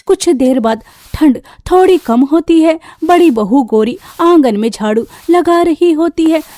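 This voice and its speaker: A woman's voice: sits high, expressive, unhurried, warm tone, no echo, clean studio sound. A woman reading scripted narration for a cartoon story as the storyteller.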